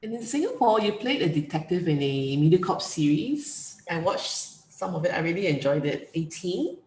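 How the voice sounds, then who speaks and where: A woman hosting an interview, asking questions and giving soft, short affirmations. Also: on the low side, big pitch swings, moderate pace. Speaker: interviewer host. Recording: echoey room with faint noise.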